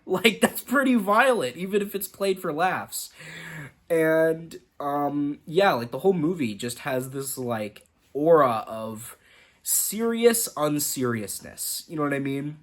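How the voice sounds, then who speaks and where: A man's voice: fairly high pitch, animated, takes it slowly. A young man giving a casual, rambling movie review, full of "like" and restarts. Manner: rambling, lots of fillers and restarts. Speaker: film reviewer.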